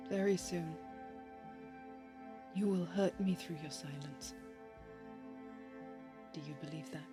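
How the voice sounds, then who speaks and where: A woman's voice: lowish voice, slow, over music. A woman playing a melancholy, possessive lover in an intimate audio drama, speaking in an intense, poetic, confessional way. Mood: quietly wounded. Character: melancholy, possessive heartbroken lover, an actor by trade.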